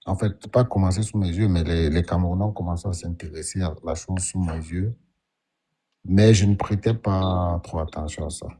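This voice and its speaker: A man's voice: low-pitched, normal speed, mellow, breathy edge. A man calling in to a live stream, speaking hesitantly and carefully, often restarting his sentences. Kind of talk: casual call-in talk.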